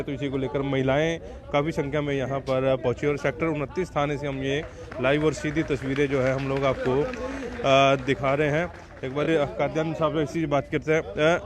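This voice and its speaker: A man working as a local news reporter, reporting live and interviewing people at an outdoor protest in plain, matter-of-fact speech with fillers. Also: mid-range voice. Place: outdoors at a police station protest. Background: crowd noise.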